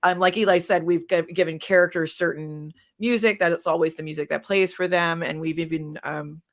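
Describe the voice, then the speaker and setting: Low-pitched woman chatting on a panel. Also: fairly even intonation, a little fast. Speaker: panelist, fiction podcast creator. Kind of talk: casual panel talk.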